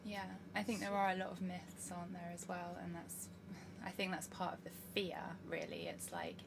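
A woman's voice: lowish voice, steady tone, normal speed. A woman hosting a podcast, friendly and giggly, with lots of ums. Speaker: podcast host. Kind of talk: casual podcast interview. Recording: gravelly voice with hiss.

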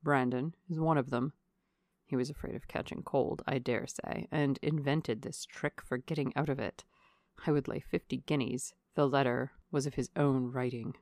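A woman voicing a sly, disdainful young gentleman in a storybook reading, hushed and drily mocking. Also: extremely low pitch, steady tone, moderate pace, no room sound, studio quality, voice pushed down. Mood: sly contempt.

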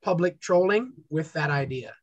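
A man chatting casually as an interview guest, telling stories in an upbeat, animated way. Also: fairly high pitch, moderate pace, breathy edge, no echo, lo-fi sound. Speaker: guest content creator.

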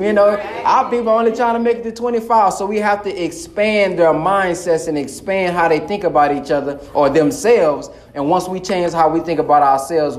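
A man's voice: high-pitched, darkish tone. A man preaching to a live audience in an impassioned, colloquial way. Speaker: minister. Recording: echoey hall.

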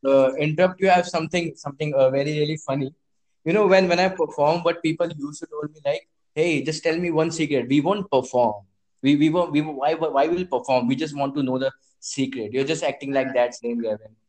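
Halting male magician guest. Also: fairly high pitch, fairly even intonation, a little mellow, rough recording. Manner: halting, slightly broken English.

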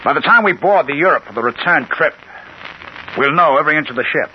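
Noisy, muffled vintage radio audio. A male actor plays a confident criminal mastermind, a man who lays things out methodically and insistently. His delivery swings widely in pitch, in old radio crime-drama style. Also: lively intonation, fast talker, lightly acted. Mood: commanding, businesslike.

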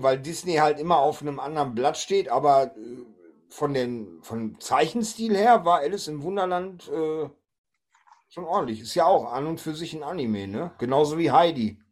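Podcast host, male, slightly high voice, loose conversational delivery. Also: moderate pace. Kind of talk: casual chat.

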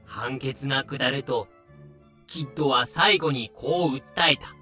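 A man's voice: medium pitch, a little slow, bright, forceful tone, faint music behind. A man narrating a pirate history audio drama in a solemn, scripted, dramatic style.

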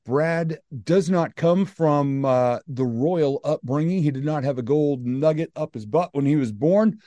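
A man's voice: a little high, unhurried, dark tone, no room sound. An American man hosting a podcast, introducing his guest and asking questions in an upbeat, chatty way. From United States. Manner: enthusiastic, chatty. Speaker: podcast host.

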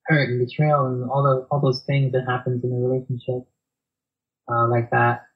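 Soft guy opening up in an interview, lots of ums, sorta muffled recording. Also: mid-range voice, completely flat delivery, moderate pace. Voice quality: soft-spoken. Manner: reflective and hesitant, many fillers and restarts, soft laughs. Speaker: guest. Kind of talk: reflective interview conversation.

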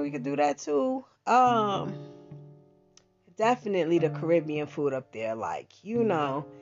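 Woman, podcast host, slow easy delivery, slangy chat, dull lo-fi sound, guitar music behind. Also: mid-range voice. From Bahamas-born, raised in Philadelphia. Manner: chatty, slangy, lots of fillers and asides. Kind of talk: casual monologue.

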